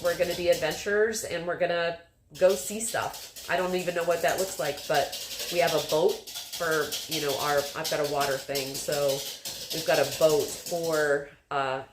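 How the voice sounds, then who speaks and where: A woman's voice: sits low, steady tone, normal speed, noisy background. A woman in her fifties chatting casually as a livestream host, rambling with many fillers. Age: 50s. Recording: echoey room with background music.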